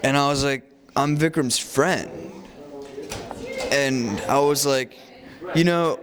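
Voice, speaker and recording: A man's voice: medium pitch, takes it slowly, crowd noise. A man telling a casual, rambling story, full of "like" and "you know" fillers, joking and mock-serious. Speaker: student show presenter.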